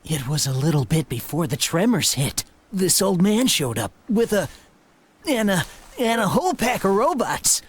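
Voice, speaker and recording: Anxious, animated young lab assistant. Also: male voice, sits high, unhurried, slightly rough, heavily acted. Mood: distressed. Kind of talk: video game cutscene acting.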